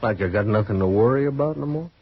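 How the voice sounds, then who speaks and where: An actor playing a drunk, wounded gambler in an old western radio drama, husky and halting, with a rueful manner. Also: male voice, lowish voice, lively intonation, no echo. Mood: wry, relieved. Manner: slow, halting, rueful chuckling. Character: wounded gambler gunslinger, drunk, bitterly relieved. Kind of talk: 1950s western radio acting. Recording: dull, dry old radio recording.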